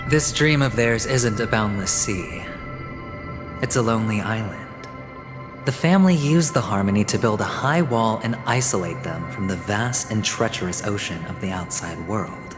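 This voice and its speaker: A man voicing a suave, sly young gambler in a video game cutscene, with smooth, theatrical, sardonic delivery. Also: on the low side, moderate pace, lightly acted. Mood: sly, reflective. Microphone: close studio voice recording. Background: game music.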